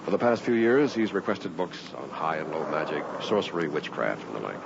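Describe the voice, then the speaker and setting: An actor playing a wealthy, anxious French count with a grave, dramatic delivery in an old-time radio play. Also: male voice, extremely low pitch, animated, brisk, creaky, exaggerated voice. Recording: muffled, very noisy vintage radio recording.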